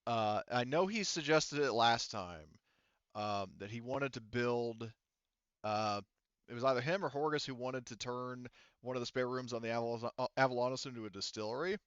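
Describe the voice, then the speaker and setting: Clear-voiced man, halting game master. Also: mid-range voice, a bit bright, no room sound, highs cut off. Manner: halting, rambling, self-correcting, casual. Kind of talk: improvised tabletop game narration.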